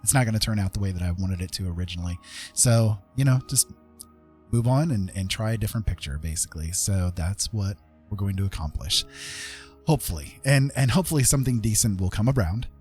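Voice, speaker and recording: Low-voiced middle-aged man with hesitant delivery. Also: animated, fairly quick, a little mellow, soft background music. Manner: self-deprecating, hesitant, informal. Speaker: livestreaming digital artist. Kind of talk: casual livestream tutorial chat.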